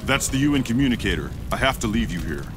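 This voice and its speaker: An actor playing a determined, distrustful superhero in a video game, speaking in a brisk, blunt, heroic way. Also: male voice, mid-range voice, big pitch swings, background noise, close to an everyday voice. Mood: distrustful, urgent. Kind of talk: video game cutscene acting. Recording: faint game music behind voice.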